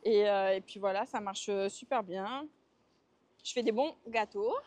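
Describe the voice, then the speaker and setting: A woman's voice: medium pitch, a little slow, darkish tone. A woman in her twenties from Marseille with a Marseille accent, answering interview questions while walking, hesitant with many fillers. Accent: Marseille French. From France. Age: 20s.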